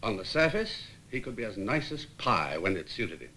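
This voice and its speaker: An actor playing an articulate British psychiatric nurse, gravely narrating a case history in a 1950s film. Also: male voice, sing-song, pushed and bright, slight background noise, exaggerated voice. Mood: grave, explanatory. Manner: measured, grave, emphatic. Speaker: hospital staff member recounting a case history. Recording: faintly rumbling 1950s film soundtrack.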